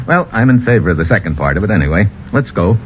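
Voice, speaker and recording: An actor playing a wry, tough American secret agent in an old radio thriller, with a sardonic, hard-boiled manner. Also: male voice, fairly low pitch, lively intonation, fast talker, slight background noise, close to an everyday voice. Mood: wry, annoyed. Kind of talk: old-time radio thriller acting and narration. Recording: muffled old radio broadcast, loud music.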